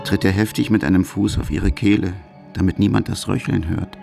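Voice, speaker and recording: A man's voice: sits low, fairly even intonation, fairly quick, gravelly. A man reading a scripted documentary narration in a terse, matter-of-fact manner. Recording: music under narration.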